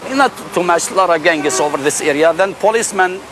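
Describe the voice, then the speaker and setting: A non-native man with a high voice speaks earnestly in imperfect, non-fluent English. He sounds like a local answering an interview, with faint noise in the street recording. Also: fairly quick. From immigrant who came to America as refugee. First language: not English. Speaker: local resident being interviewed.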